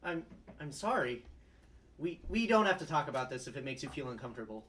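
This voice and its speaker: Man playing an earnest, high-voiced young lawyer. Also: sing-song, fairly quick, pushed and bright. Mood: hesitant. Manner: hesitant, earnest. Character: earnest, idealistic young male human lawyer. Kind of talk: comedy script table read.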